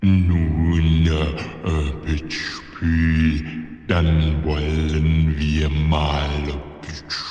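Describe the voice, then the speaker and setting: A man voicing a solemn water-creature uncle officiating in a children's audio play, halting and full of odd interjections. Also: a bass voice, flat delivery, a little mellow, heavily acted. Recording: echoing cave-like hall, distant, music behind.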